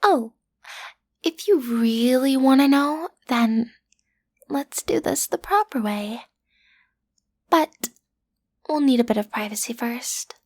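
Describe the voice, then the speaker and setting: High-voiced cute teenage villain girl, acted by a woman. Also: slow pace, long pauses, a little mellow, full character voice. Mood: flustered, bossy. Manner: theatrical, sassy, hesitant when breaking character. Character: cute teenage girl, amateur supervillain-in-training, playfully menacing but awkward. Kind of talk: roleplay audio drama, addressed to the listener.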